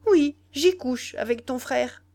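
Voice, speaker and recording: Brash young kept woman played by female reader, high voice, impatient, scornful, slangy audiobook dialogue. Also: lively intonation, measured pace, darkish tone. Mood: angry, impatient. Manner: exclamatory, scolding, slangy.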